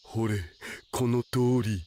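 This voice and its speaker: A man's voice: mid-range voice, fairly even intonation, slow, heavy background noise, lightly acted. A man playing a laid-back, nerdy young otaku with slangy, teasing internet speak in an anime radio drama. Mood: casual.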